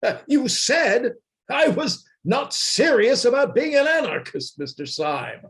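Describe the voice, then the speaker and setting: Guy acting proud secretive anarchist, radio play, high voice, really slow and halting, formal, on a video call. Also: sing-song, a bit bright, exaggerated voice. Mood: solemn, conspiratorial. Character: proud, offended male anarchist, secretive and grandiose.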